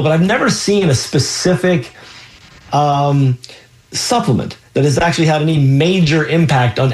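Man hosting radio health advice, halting. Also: mid-range voice, a little mellow. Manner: explanatory, frequent ums and restarts. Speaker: radio health show host and physician.

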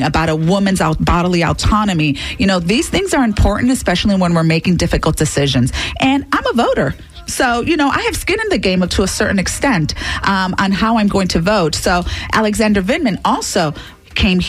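A woman hosting a community radio show, chatting with a guest in the studio and making earnest pledge appeals, with lots of "um"s and restarts. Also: medium pitch.

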